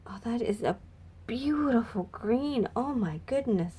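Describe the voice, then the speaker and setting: Slightly raspy, congested woman chatting casually. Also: a little high, expressive, unhurried, warm tone. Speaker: makeup vlogger. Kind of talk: casual makeup swatch review chat.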